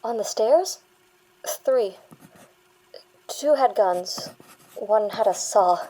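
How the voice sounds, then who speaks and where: A woman playing a shaken, defensive young bank worker giving halting testimony in an audio drama. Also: medium pitch, animated, mellow, rough recording. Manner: halting, insistent, stammering. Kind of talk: dramatic audio fiction acting.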